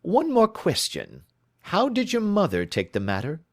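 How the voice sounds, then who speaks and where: Man reading as a brisk, assured detective, lively emphatic delivery, storybook reading. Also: high-pitched, no echo, clean studio sound, voice pushed up, exaggerated voice. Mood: authoritative. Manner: crisp, authoritative, reassuring. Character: brisk, self-assured Victorian male detective.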